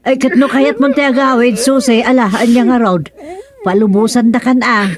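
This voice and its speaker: Highish-voiced exasperated farm wife played by a woman. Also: steady tone, normal speed, crowd noise, lightly acted. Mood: dismayed. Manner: exclamatory, questioning. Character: exasperated middle-aged farmer's wife and aunt. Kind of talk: comedic radio drama acting.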